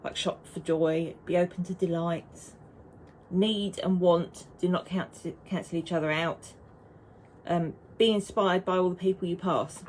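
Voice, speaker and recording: A woman's voice: lowish voice, fairly even intonation, measured pace, a little mellow, slight background noise, poor audio. A woman, chatting casually and rambling to camera as a knitting vlogger. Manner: chatty and rambling, with frequent restarts.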